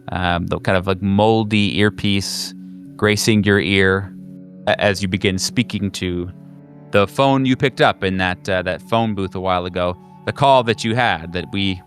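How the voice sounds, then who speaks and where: A man with a fairly low voice runs a horror roleplaying game over faint music. He narrates conversationally, feeling his way through detailed descriptions as game master. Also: fairly bright tone. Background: background music.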